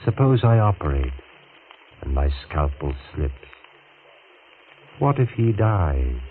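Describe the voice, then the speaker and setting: An actor playing a smooth, vindictive middle-aged doctor in an old radio thriller, speaking in a measured, insinuating, menacing way. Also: male voice, very deep voice, sing-song, full character voice. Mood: bitter, menacing. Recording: tinny, noisy vintage radio recording.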